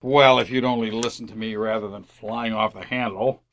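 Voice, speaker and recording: A man's voice: on the low side, steady tone, moderate pace, a bit bright. A man playing an earnest, stubborn and easily flustered suburban father in an old-time radio family comedy. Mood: defensive. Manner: earnest, defensive.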